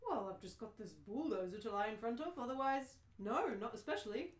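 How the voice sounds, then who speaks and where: A woman's voice: a little high, expressive, fairly quick, natural voice. A woman playing an exasperated, bewildered ordinary man in an amateur radio play, dry and questioning. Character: exasperated, bewildered ordinary Englishman defending his house. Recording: roomy, echoing live reading.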